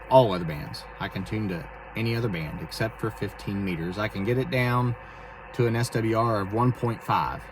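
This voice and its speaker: A man talking casually and haltingly as he explains his amateur radio hobby in a vlog from inside a vehicle. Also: lowish voice. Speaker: amateur radio operator and video host. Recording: distant mic, clear vehicle and radio noise.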